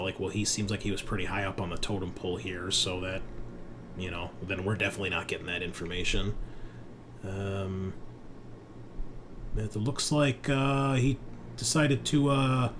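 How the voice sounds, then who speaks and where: Low-voiced man as game master, noisy background. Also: normal speed. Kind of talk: narrating a tabletop roleplaying game. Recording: noisy room with steady background ambience.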